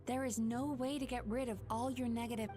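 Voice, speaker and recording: A woman voicing a composed, earnest young investigator in an anime video game, soft and serious. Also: a little high, steady tone, a little fast, faint music behind, natural voice.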